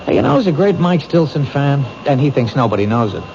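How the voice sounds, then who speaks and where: An actor playing an earnest, protective police detective in a 1970s radio drama, friendly and a little stammering. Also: male voice, medium pitch, animated, quick pace, natural voice. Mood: warm, earnest. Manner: friendly, stammers when nervous. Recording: dull old radio broadcast with hiss.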